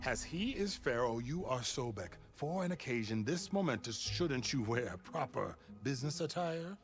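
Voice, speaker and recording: An obsequious, fussy aide from a video game, acted by a man. His polished, formal delivery moves animatedly in pitch at a medium register, with faint music behind. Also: lively intonation, moderate pace, natural voice. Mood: fawning. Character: fawning, fussy male aide to a grandiose ruler. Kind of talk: video game cutscene acting.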